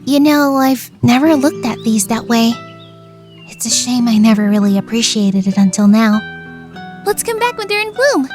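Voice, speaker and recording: A woman voicing a friendly, considerate high school senior girl in an anime fandub, with a thoughtful, gentle manner. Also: animated, moderate pace, faint music behind, close to an everyday voice. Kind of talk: anime fandub radio play.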